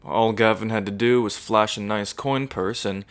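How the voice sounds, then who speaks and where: A man's voice: on the low side, steady tone, normal speed, lightly acted. A man playing a smug, menacing evil prince in an ASMR roleplay, mocking and condescending, drawling like a theatrical villain. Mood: smug mockery.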